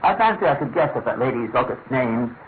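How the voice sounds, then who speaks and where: A man playing a genteel English gentleman who narrates a radio drama in a measured, formal storytelling manner with a British accent. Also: mid-range voice, lively intonation, lightly acted. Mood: reflective. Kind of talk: scripted first-person radio drama narration. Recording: tinny, narrow-band old radio, faint hiss.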